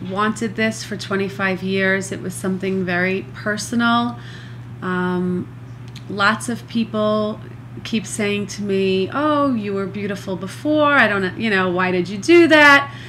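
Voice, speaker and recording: A middle-aged woman speaking slowly and earnestly, pausing often between thoughts. Her voice is bright and forward, a little stuffed up from congestion. A faint hum of room sound sits behind her. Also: medium pitch. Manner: earnest, slow, many pauses and fillers. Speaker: vlogger recovering from nose surgery. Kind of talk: casual diary-style video.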